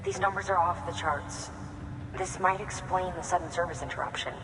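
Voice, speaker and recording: A woman's voice: fairly low pitch, moderate pace, close to an everyday voice. A woman playing an alert, precise analyst in a video game, reporting in a clinical but urgent way. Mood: alarmed focus. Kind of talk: dramatic game radio briefing. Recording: hall reverb, clear background noise.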